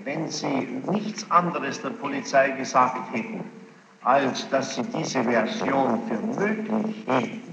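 A man formally questioning a witness as a judge, probing and insistent, with halting phrasing and a gravelly voice. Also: mid-range voice. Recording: noisy, muffled archival tape, echoey hall.

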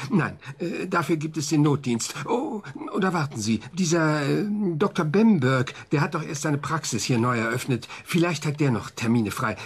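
A conscientious, flustered doctor in a radio play. He has a husky voice and speaks haltingly, earnest and unsure of himself. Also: on the high side. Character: flustered, conscientious male doctor pleading for a patient. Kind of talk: radio drama acting.

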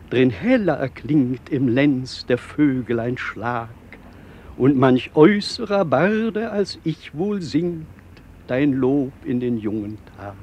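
A solemn old reverend reciting verse. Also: male voice, mid-range voice, a little mellow, dull audio, a little hiss or hum. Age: older. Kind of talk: radio play verse recital.

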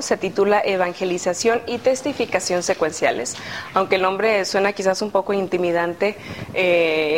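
A woman's voice: mid-range voice, a little hiss or hum. A woman hosting a friendly Bible study discussion, leading the questions for a small panel. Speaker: host.

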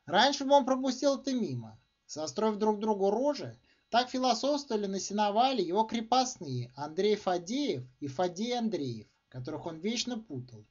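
A man reading literary fiction as its author, in expressive, dramatic narration with emphatic phrasing. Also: high voice, moderate pace, few pauses. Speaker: author reading own short story. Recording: dull, muffled lo-fi sound.

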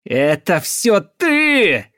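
A hysterical young peasant woman in an audiobook drama, acted out by a male narrator. The voice leaps high and breaks into shrieks and near growls, full of grief and fury. It sounds close and dry. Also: wildly swooping pitch, extremely slow, pushed and bright, no room sound, heavily acted. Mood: murderous rage. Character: hysterical armed young peasant wife, grief-stricken and furious.

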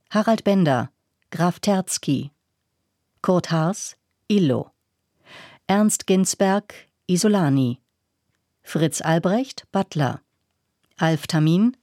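A woman radio announcer reading credits in a formal, measured and neutral way. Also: lowish voice, mellow, no room sound, studio quality.

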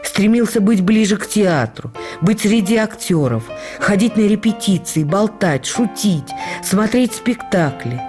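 An actress playing a reflective woman who recalls life with her late husband, reading her memoir in a measured, expressive literary way for a radio production. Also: mid-range voice, faint music behind, lightly acted. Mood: reflective, wistful.

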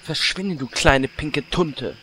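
Man acting a high, whiny little orc. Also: slow, bright, forceful tone, a little hiss or hum. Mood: needy, snippy. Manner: exaggerated, theatrical whining. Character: small male orc, whiny, melodramatic, fake-sensitive. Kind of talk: comedy audio drama acting.

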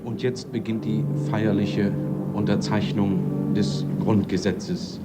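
A male radio commentator from an archival broadcast describes a signing ceremony solemnly. His voice is very deep and his delivery slow, with loud music behind him. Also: flat delivery.

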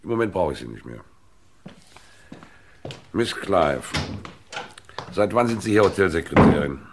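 An actor playing a probing police inspector in a radio crime drama, asking clipped, businesslike questions. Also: male voice, low voice, very animated, measured pace, slight background noise.

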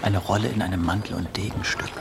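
A wry, world-weary companion talks in a radio drama. His voice is lowish and gravelly, with music behind it. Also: fairly even intonation, fast talker. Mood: wry, resolved.

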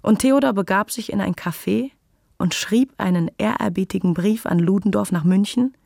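A literary narrator with a noticeably creaky, gravelly voice. The reading is measured and articulate, recorded dry and close. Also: mid-range voice, darkish tone, dry recording. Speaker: radio play narrator.

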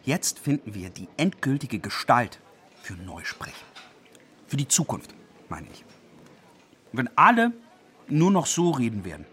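A pedantic, wildly animated zealot. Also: male voice, mid-range voice, a little slow, pauses often, husky, exaggerated voice. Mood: pedantic passion. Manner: pedantic, gloatingly enthusiastic. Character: zealous, pedantic dictionary compiler relishing destruction, male. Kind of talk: radio play dialogue acting. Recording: faint background noise.

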